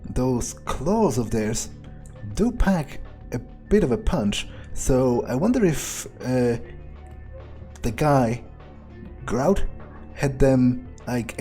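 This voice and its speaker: A man plays a video game in character, musing aloud at an unhurried pace. His voice is soft-edged and mellow, with a sardonic, sweary streak, and music plays behind him. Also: mid-range voice, lively intonation. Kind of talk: in-character playthrough commentary, thinking aloud.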